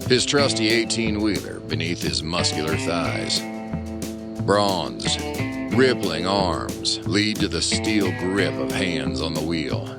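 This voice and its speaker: A man's voice: low-pitched, sing-song, takes it slowly, creaky, over loud music, full character voice. A man playing a grandiose pulp adventure narrator in a comic audio drama, pompous and dramatic with a straight face. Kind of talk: mock-heroic scripted audio drama narration.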